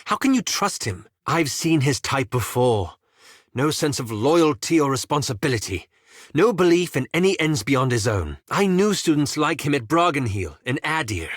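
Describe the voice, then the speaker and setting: A man's voice: on the high side, big pitch swings, moderate pace, no room sound. A man playing a cautious, bookish wizard companion in a fantasy video game, speaking formally and politely with dry, fussy reproach. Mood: wary, disapproving. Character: refined, bookish, cautious male wizard companion, easily exasperated.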